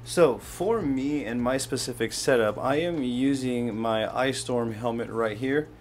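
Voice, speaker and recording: Male voice, medium pitch, casual tutorial narration, step-by-step explaining, noticeable background noise. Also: unhurried. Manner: step-by-step, pointing things out as he handles them. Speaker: host of a how-to product video.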